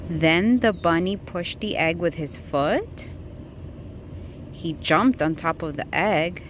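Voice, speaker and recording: A woman reads a children's storybook aloud at a slow, patient pace. Her pitch swings widely as she plays up the story for a young listener. There is light noise behind her in the recording. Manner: expressive, asking the child questions. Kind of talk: picture book read-aloud.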